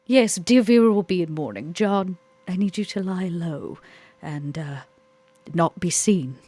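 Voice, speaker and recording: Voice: mid-range voice, a little slow, warm tone, no echo, lightly acted. A player voicing an elegant, commanding vampire lady with a theatrical, formal manner in a tabletop game. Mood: grand, droll.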